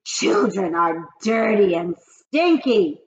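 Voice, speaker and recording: A shrieking, tyrannical witch queen, voiced by a woman reading aloud, barking orders in slow, stretched-out, high bellows. Her voice leaps up and down wildly. The recording sounds dull and lo-fi. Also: big pitch swings, fairly bright tone, roomy sound, a little hiss or hum, exaggerated voice. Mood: ranting. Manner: slow, drawn-out, bellowing commands. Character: imperious shrieking female witch leader, tyrannical.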